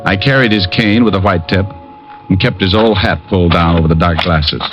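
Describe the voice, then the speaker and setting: Man as a wry private eye, deep dry voice, confident quips, dull old radio with music behind. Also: fairly quick, natural voice. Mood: narrating. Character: wisecracking male private eye, cool. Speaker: private detective and narrator. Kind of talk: 1950s radio drama narration.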